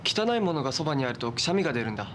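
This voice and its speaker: Man acting as a snappy, fast, sarcastic TV drama youngster. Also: mid-range voice, sing-song, noisy background, natural voice. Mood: needling.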